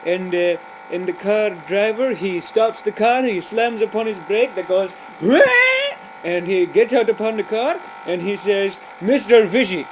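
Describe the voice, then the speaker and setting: A man putting on a high-voiced rambling joke-teller. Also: normal speed, few pauses, background noise, close to an everyday voice. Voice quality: broken grammar, many fillers and restarts, giggly. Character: rambling, cheerful adult man telling pointless jokes. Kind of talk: comic character monologue.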